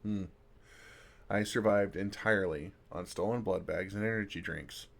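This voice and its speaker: Man playing a low, creaky, kind vampire. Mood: soothing, self-mocking. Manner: gentle, dry self-deprecating humor, unhurried pauses. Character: kind, wry older male vampire comforting a fledgling. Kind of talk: intimate ASMR roleplay.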